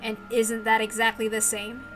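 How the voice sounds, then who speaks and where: A calm male bodyguard from a visual novel, read by a woman, pressing his point in a measured, admonishing way. The voice is fairly high and bright, with music playing behind it. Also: natural voice. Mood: pointed, probing. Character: calm, persistent male bodyguard lecturing a girl.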